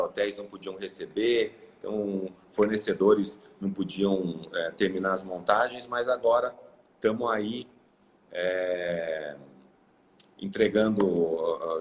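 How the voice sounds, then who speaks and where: A man's voice: deep voice, slight background noise. A Brazilian man from Ribeirão Preto, talking casually as a guest on a live video call, rambling with many fillers and restarts. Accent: Brazilian Portuguese. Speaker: guest, coffee exporter.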